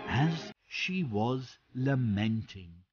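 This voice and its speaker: A storyteller narrating a children's fairy tale from a script in short, deliberate phrases. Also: medium pitch, expressive, highs cut off. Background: music.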